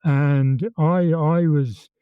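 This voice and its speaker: A man in his eighties with a Birmingham accent, calmly recalling old times as an interview guest and often repeating words as he tells his story. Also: fairly high pitch, extremely slow, smooth, no echo, phone-quality sound. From England. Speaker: interview guest, former conscript. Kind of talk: reminiscing in conversation.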